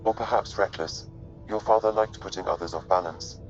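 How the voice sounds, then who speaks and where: A man as a low-voiced, calm advisor. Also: brisk, lo-fi sound, soft background music, lightly acted. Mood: measured reassurance. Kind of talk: video game cutscene acting.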